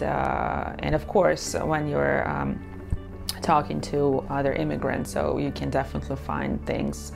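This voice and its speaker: Middle-aged woman, low gravelly voice, halting interview answers, music under it. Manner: hesitant, many pauses and fillers. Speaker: interviewee, immigrant. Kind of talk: personal testimony to camera. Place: indoor studio against a light wall. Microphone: lapel mic.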